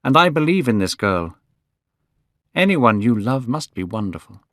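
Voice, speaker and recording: A man doing a sincere, gentle painter. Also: mid-range voice, sing-song, moderate pace, no room sound. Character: gentle, earnest male painter friend.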